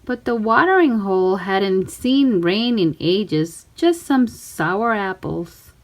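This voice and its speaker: Slow-paced woman reading a storybook. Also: mid-range voice. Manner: expressive, acts out characters and sound words. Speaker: storybook reader. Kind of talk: children's story read-aloud.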